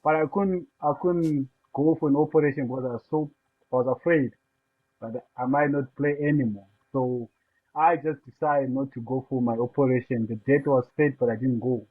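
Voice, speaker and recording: Casual, unhurried zimbabwean guy telling his story down a phone-like line. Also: medium pitch, steady tone. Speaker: guest. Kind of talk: casual interview over a call. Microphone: remote call line. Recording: tinny phone-like call line.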